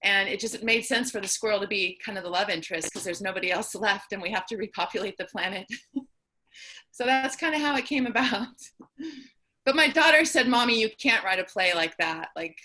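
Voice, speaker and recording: A woman's voice: medium pitch, lively intonation, brisk, sharp tone. A middle-aged woman playwright casually explaining her own play. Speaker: playwright and performer.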